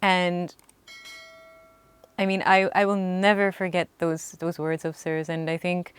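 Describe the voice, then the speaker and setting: A young woman, a singer, telling lively stories in an interview, laughing and acting out what people said. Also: fairly low pitch, a little slow, clean tone. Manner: animated, laughing, acts out quotes. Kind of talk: storytelling in an interview.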